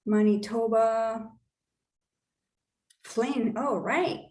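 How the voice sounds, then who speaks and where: A woman's voice: a little high, extremely slow, a little mellow, echoey room. A woman from Colombia with a Colombian Spanish accent, a native Spanish speaker, hosting an online workshop in a casual, upbeat way with the odd swear word. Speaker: workshop host and wealth coach.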